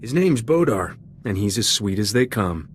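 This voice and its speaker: A man's voice: on the low side, big pitch swings, moderate pace, a little hiss or hum. A man playing a flippant, flirtatious smuggler captain in a video game, cool and unflappable. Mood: easy, curious. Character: cocky, charming male smuggler starship captain, unflappable. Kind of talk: video game cutscene acting.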